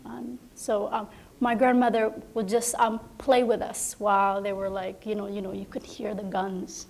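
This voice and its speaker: A woman from Somalia, a playwright on a panel, speaking softly and haltingly with many fillers as she reflects. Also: mid-range voice, little pitch movement, vocal fry. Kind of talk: reflective personal sharing.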